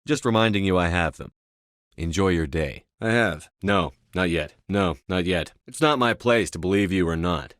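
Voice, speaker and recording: A man's voice: deep voice, moderate pace, a bit bright, no echo, clean studio sound. A man playing a stern, commanding space soldier hero in a video game, speaking in a terse, controlled, authoritative way. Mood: dry, firm. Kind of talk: video game dialogue acting.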